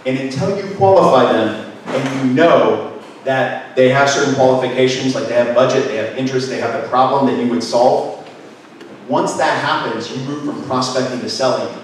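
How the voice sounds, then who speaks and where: A middle-aged man giving a lively workshop talk on a handheld mic in a conference room, asking the audience questions. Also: medium pitch, normal speed. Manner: energetic, gestures, asks the room questions. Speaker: business speaker. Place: conference room with projector screen. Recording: echoey room from far off, faint noise.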